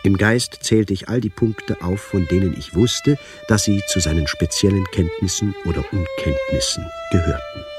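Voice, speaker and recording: Under loud violin music, a bemused chronicler in a radio play. His voice is low and hugely varied in melody, musing and measured as if thinking aloud. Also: wildly swooping pitch. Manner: musing, measured, listing points to himself. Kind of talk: German radio drama narration.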